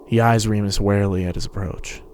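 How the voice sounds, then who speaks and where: A man's voice: fairly low pitch, steady tone, a little fast, a little mellow, a little hiss or hum. A man giving a scripted, dramatic narration of a story. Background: music and sound effects.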